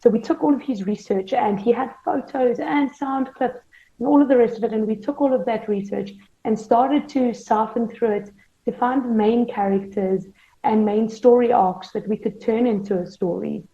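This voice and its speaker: A woman's voice: on the high side, moderate pace, creaky, warm tone. A woman explaining her work as an interview guest. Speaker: podcast producer and manager, interview guest. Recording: roomy, dull lo-fi sound.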